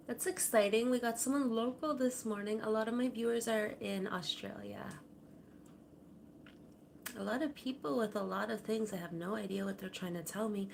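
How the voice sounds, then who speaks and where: A woman hosting a casual livestream from her home kitchen, talking softly and rambling, her sentences often trailing off quietly. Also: fairly high pitch, gravelly, a little hiss or hum. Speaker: livestream host. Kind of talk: casual chat to viewers. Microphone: camera on a tripod.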